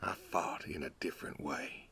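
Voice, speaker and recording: A man's voice: a bass voice, normal speed, creaky, bright, forceful tone, exaggerated voice. A man playing a stoic, weary older pig farmer and war veteran in a game, laconic and folksy. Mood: reflective, subdued. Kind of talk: naturalistic video game dialogue.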